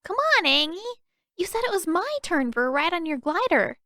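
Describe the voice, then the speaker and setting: A woman's voice: extremely high pitch, big pitch swings, moderate pace, sharp tone, dry recording, studio quality, voice pushed up, full character voice. A woman voicing a pushy, clingy young girl admirer in an audiobook, insistent and demanding. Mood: pushy, eager. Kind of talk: audiobook character voice.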